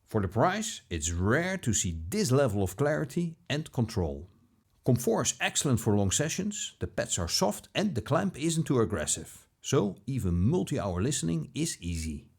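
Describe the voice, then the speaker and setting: Husky-voiced man narrating a scripted review. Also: medium pitch, sing-song, normal speed, darkish tone. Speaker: product reviewer, video host.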